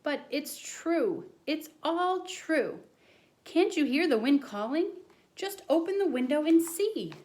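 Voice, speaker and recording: A woman voicing an earnest, excitable schoolboy who tells a tall storybook tale with dramatic insistence. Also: high voice, takes it slowly, voice pushed up. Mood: pleading, insistent.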